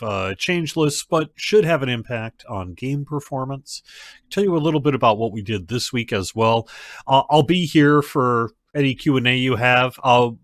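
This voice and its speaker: A man's voice: medium pitch, a bit bright, dry recording, clean studio sound. A man hosting a game livestream as community manager, talking casually through news updates with fillers and restarts, a little stuffy from a cold. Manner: conversational, with frequent fillers and restarts.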